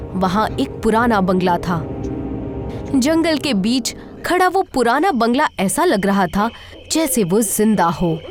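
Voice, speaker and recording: A woman narrator reading a scripted horror story. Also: fairly high pitch.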